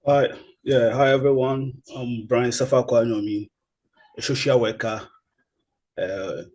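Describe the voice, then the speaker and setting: A social worker introducing himself formally in an online meeting. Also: male voice, medium pitch, steady tone, measured pace, a little mellow. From Ghana.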